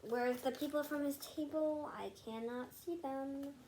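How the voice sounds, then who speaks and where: A high female voice reads a picture book aloud in a halting way, repeating words as it goes and dropping into casual asides. It is a home recording with some faint background noise. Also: warm tone, poor audio. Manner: halting, stumbles and rereads words. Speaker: read-aloud storyteller.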